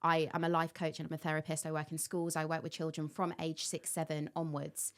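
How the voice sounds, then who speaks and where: A woman hosting a podcast interview, chatty, with quick asides and frequent interjections. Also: low voice, monotone. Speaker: host, life coach and therapist.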